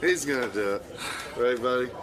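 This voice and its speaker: A man's voice: medium pitch, normal speed, crowd noise. A man bantering casually, teasing and coaxing as he talks.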